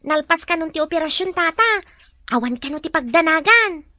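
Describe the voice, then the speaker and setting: A woman's voice: very high voice, lively intonation, moderate pace, bright, forceful tone, heavily acted. A woman playing a nosy, gossipy village woman in an Ilocano audio drama, full of exclamations and questions.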